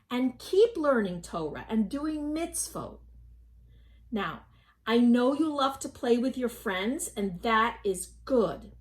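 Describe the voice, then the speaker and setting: A woman playing a kind, earnest preschool teacher giving gentle advice to her class in a puppet show. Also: on the high side.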